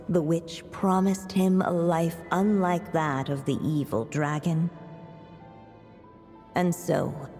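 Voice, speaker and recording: An actress narrating a storybook passage in a video game, speaking in a gentle, solemn way with soft background music. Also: female voice, low voice. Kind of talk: slow scripted narration.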